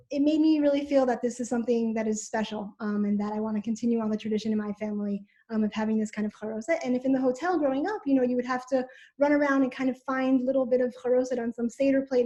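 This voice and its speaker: A woman's voice: a little high, a little mellow. A woman teacher who grew up in Israel, explaining informally on a video call with many ums. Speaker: middle school teacher.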